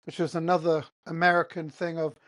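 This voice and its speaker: A man's voice: on the high side, level tone, brisk, a bit bright. A man with an English accent, hosting his own podcast as a solo monologue, talking emphatically and firing off rhetorical questions. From born in Qatar, raised in England. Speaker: podcast host.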